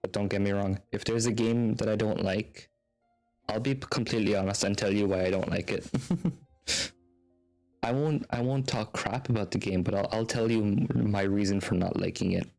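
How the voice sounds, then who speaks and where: Low gravelly-voiced man streaming a game. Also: fairly even intonation, moderate pace, darkish tone. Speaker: video game livestreamer. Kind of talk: casual livestream chat, reading game text aloud. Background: video game music.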